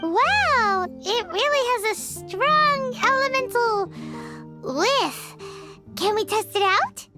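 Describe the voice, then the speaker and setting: A small, excitable fairy companion from a video game. The voice is extremely high, squeaky and childlike, full of exclamations and lively swoops. Clear music plays behind it. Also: female voice, takes it slowly, full character voice. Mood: excited, amazed. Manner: speaks of herself in third person, exclaiming. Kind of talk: video game character voice acting.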